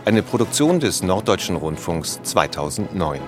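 A man's voice: sits low, big pitch swings, normal speed. A man reading scripted credits as a radio announcer. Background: music.

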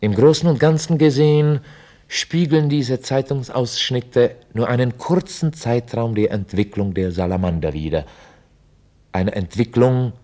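An actor playing a chronicler, narrating a mock history in a formal, matter-of-fact way for a radio play. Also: male voice, medium pitch, measured pace, mellow, natural voice.

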